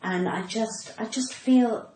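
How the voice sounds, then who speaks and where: A woman's voice: a little high, takes it slowly, dark tone. A woman with a cut-glass English accent, chatting casually as a comedian guest with dry, wry humour. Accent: English, cut-glass received pronunciation. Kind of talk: casual interview chat. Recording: echoey room, faint background noise.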